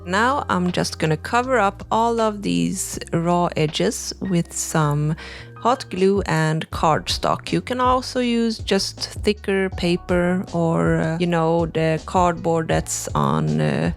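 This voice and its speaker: A young woman narrates a craft tutorial at an easy, unhurried pace. Her voice sits fairly low and carries a gravelly texture, and she talks casually, with plenty of "like" and "you know." Faint music plays behind her. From Sweden. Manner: relaxed, chatty explaining with frequent "like" and "you know". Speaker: crafting channel host.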